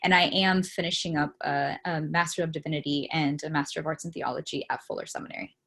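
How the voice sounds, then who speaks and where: A woman's voice: deep voice, fairly even intonation, fast talker, gravelly, fairly bright tone. A young woman from Miami, a theologian and interview guest, talking in an earnest, reflective way with frequent fillers. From Miami, Florida, United States. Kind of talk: casual conversational interview.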